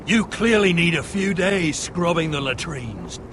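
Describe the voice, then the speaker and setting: An actor playing a gruff, scornful drill master in a video game, commanding and scolding. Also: male voice, fairly high pitch, expressive, a little slow, background noise. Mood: stern, scornful. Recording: loud music behind.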